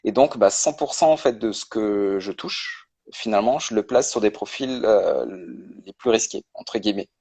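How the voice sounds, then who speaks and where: A man speaks as a guest in a casual live-stream interview over a video call. His voice sits on the low side. He explains things step by step, pausing often on fillers as he works through each point. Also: animated, gravelly, darkish tone, slightly rough. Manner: explains step by step with many hesitations. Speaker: guest, personal finance creator.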